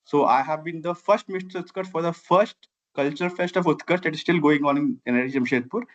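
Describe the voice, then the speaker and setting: A man's voice: a little high, brisk, muffled recording. Earnest Indian man with a Bihari accent. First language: Maithili. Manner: earnest, long winding sentences with repeated "I have". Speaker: guest, civil engineer and founder. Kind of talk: interview answers.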